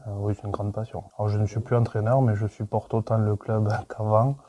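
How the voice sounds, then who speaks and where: A man's voice: lowish voice, little pitch movement, fairly quick, warm tone, muffled recording. A man in his thirties, a mechanic, giving short, hesitant interview answers with many fillers. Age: 30s. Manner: plain, brief, hesitant with fillers.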